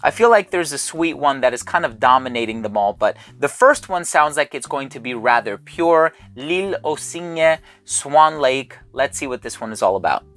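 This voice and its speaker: A chatty man talks through a perfume haul at his table, thinking aloud as he smells each bottle. His voice sits at a middling pitch, with soft music faint in the background. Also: lively intonation, moderate pace, few pauses. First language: English. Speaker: fragrance reviewer. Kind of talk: casual sponsored haul video.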